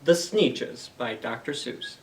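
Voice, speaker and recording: A man's voice: mid-range voice, lively intonation, takes it slowly, recorded from a distance, a little hiss or hum. A man hosting a story time for young children, reading aloud and explaining things simply with questions to the listeners.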